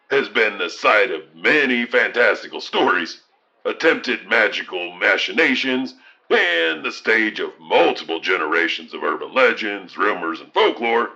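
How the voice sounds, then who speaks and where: A man's voice: on the low side, gravelly, bright, forceful tone, lo-fi sound, full character voice. A man playing a cryptic, professorial eyewitness who rambles hesitantly and pedantically about lore in an audio drama.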